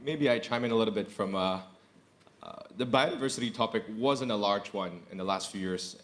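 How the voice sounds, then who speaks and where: Thirties exec on a panel, low-pitched, earnest, repeats himself for emphasis. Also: male voice, brisk. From Indonesia. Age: 30s. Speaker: corporate executive panelist. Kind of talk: panel discussion.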